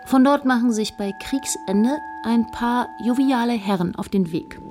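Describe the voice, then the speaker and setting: A woman's voice: a little high, unhurried, darkish tone, soft background music, close to an everyday voice. An actress playing an observant female historian, narrating in a precise, dryly descriptive manner for a radio drama.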